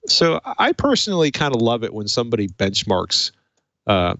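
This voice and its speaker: A man's voice: medium pitch, huge pitch swings, moderate pace, fairly bright tone, no echo, highs cut off. A man hosting a casual tech podcast in a conversational, wry way, moving between chat and read-out copy. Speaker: podcast host. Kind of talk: casual tech talk show with a read sponsor message.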